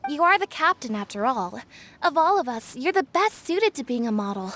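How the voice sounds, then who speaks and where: A spirited young crew girl from a video game, frank and chiding. Her voice is very high and leaps about in pitch as she speaks in exclamations. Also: sing-song, normal speed, fairly bright tone, exaggerated voice. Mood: exasperated, chiding. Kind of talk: video game character dialogue.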